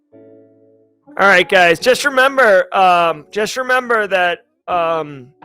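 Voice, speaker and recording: A man's voice: sits high, lively intonation, slow pace, bright, forceful tone, clean tone, faint music behind. A man in his forties hosting a casual livestream, reading chat questions in a rambling, upbeat way and often stopping to correct himself. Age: 40s.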